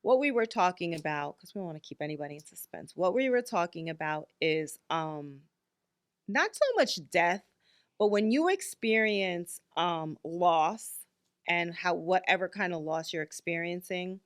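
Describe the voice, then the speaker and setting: A woman's voice: on the low side, lively intonation, moderate pace, clear, resonant tone. Blunt woman in her fifties chatting. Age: 50s. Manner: candid, blunt, many filler likes. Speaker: podcast co-host. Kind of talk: casual chat.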